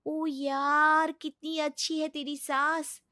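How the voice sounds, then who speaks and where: Woman doing a high, chatty young office friend. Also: flat delivery, slow, bright, forceful tone, no echo, studio quality, exaggerated voice. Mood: curious.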